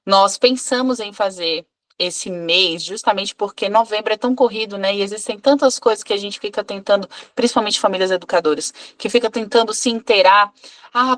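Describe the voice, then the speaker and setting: A woman's voice: medium pitch, fairly bright tone. A woman in her thirties from Brazil, an English teacher, talking casually on a live video call with many fillers and restarts. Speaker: English teacher and club co-host.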